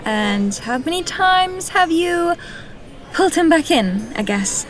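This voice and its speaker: A woman's voice: extremely high pitch, expressive, slow, a little hiss or hum, heavily acted. An actress playing a guarded, hesitant young commoner woman in a fantasy audio drama, careful yet direct. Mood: worried, curious.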